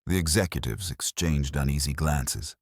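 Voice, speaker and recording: A man narrating a scripted first-person drama in a measured, dramatic storytelling delivery. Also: a bass voice, dry recording, studio quality.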